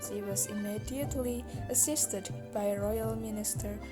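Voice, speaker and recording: A woman's voice: mid-range voice, fairly even intonation, moderate pace, music in the background. A woman from Indonesia narrating a children's story as a scripted storyteller for a puppet show.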